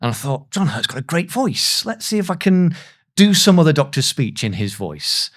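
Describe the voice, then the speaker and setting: A man's voice: fairly high pitch, sing-song, moderate pace. Man, thirties, casual interview guest, chatty, self-deprecating storyteller. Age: 30s. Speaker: guest, voice actor.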